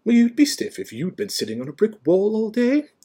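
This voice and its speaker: A man voicing a stern, severe older witch professor in an audiobook, prim and impatient, with sharp, clipped, indignant delivery. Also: high voice, wildly swooping pitch, fairly quick, breathy edge, voice pushed up, exaggerated voice. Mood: ruffled. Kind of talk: audiobook character voice.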